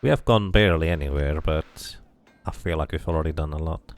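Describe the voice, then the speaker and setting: A man streaming a game, mixing casual commentary with expressive read-aloud narration. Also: extremely low pitch, a little fast, soft background music.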